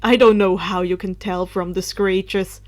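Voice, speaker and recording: A woman narrator plays a teenage boy turned into a dark spirit in an audiobook story. He sounds awkward and wry, with a huffy edge, and sits lower than the reader's own voice. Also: steady tone, a little slow, close to an everyday voice. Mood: huffy, resigned. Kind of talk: audiobook character voice.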